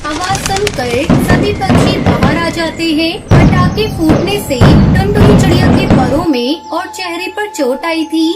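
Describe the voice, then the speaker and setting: A woman's voice: very high-pitched, measured pace, few pauses. A woman narrating a scripted children's cartoon story. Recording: music under the voice.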